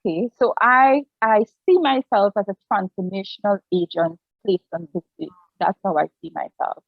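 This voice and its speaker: A Trinidadian woman in her forties, speaking earnestly as a guest. She tells her story in a reflective way and often restarts her sentences. Her voice sits mid-pitch and comes through a dull, dry video call line. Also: normal speed, no room sound. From Trinidad and Tobago. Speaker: guest, pastor and family life counselor. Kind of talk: personal story in an online interview.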